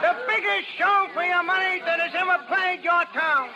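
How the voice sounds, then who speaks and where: Very high-pitched carnival ballyhoo man. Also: normal speed, dull audio. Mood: showy, pitching. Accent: American. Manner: showy, declamatory sales patter. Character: loud, patter-spouting carnival ballyhoo man. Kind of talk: 1930s American radio drama acting. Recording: noisy old radio recording.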